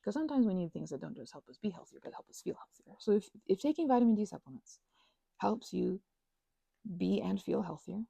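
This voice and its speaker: Woman, thirties, ASMR rambler. Mellow, breathy delivery, playful and emphatic, recorded very dry. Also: medium pitch, expressive, a little fast, no room sound. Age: 30s. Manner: emphatic, playful slangy rambling with quoted asides. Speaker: ASMR channel host. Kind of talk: casual rambling ASMR monologue.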